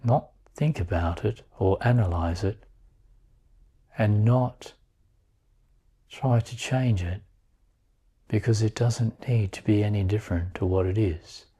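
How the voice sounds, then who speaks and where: A man with a low, mellow voice leads a guided meditation. He speaks very slowly and calmly in short phrases, leaving long pauses between them. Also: husky.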